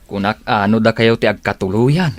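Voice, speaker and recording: A man's voice: lowish voice, normal speed, no room sound, lightly acted. An actor playing a nosy, bantering male buddy in a radio drama, needling and exclaiming, with an Ilocano accent. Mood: curious, teasing.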